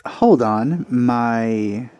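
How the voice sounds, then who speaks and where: A man's voice: mid-range voice, lively intonation, very slow, dark tone, clear, resonant tone, dry recording, highs cut off. A man giving casual, off-the-cuff commentary as he plays a video game, speaking into a headset. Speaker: let's player. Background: game music.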